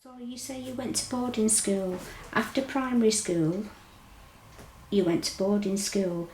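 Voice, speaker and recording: Woman interviewer, mid-pitched warm voice, gentle encouraging questions, slight room echo and faint noise. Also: measured pace. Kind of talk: oral history interview.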